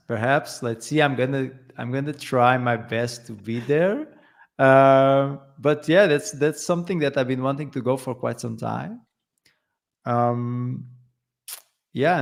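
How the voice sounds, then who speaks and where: A man's voice: medium pitch, measured pace, vocal fry. A man from Portugal, a guest on a livestream, chatting loosely and laughing often. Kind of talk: casual livestream chat.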